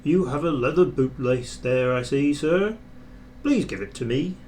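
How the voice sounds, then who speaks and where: A man's voice: medium pitch, expressive, unhurried, a little mellow, a little hiss or hum, close to an everyday voice. A man voicing a stern, firm but polite railway guard in a children's storybook.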